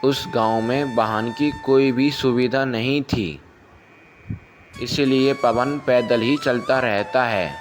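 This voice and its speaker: Slow-paced male storyteller over music. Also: mid-range voice. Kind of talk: scripted moral story narration. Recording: music behind the voice.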